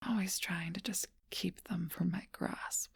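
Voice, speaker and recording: A seductive, ageless vampire voiced by a woman murmurs in a low, gravelly whisper close to the microphone. Her breath-heavy voice barely rises or falls, keeping a slow, teasing, possessive intimacy, like a storybook creature in an ASMR role-play. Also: steady tone, dry recording, full character voice.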